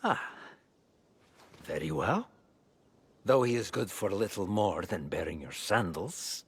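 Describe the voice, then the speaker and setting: A condescending priest in a historical game, speaking low. The voice is very husky and breathy, with clipped, reluctant politeness. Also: male voice, sing-song, slow pace, full character voice. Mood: grudging. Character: disdainful European priest, reluctantly polite to a lord.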